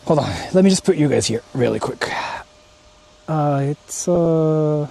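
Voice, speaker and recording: A man's voice: a little high, animated, measured pace, vocal fry, mellow. A man livestreaming a casual vlog outdoors, chatting to viewers and thinking aloud with plenty of swearing. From Japan. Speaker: livestreamer exploring haunted spots. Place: outdoors on the move in rural area. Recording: noisy outdoor livestream recording.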